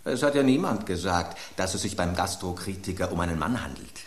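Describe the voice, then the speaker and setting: A man's voice: sits low, animated, a little fast, echoey room. An actor voicing an aging male loaf of bread, an urbane, ironic epicure, in a radio play. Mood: composed.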